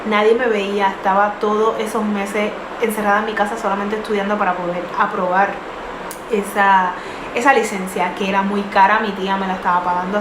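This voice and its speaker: Young woman, earnest storyteller, middling pitch, echoey room with background noise. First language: not English. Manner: earnest, many fillers and restarts. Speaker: vlogger. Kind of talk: personal storytelling.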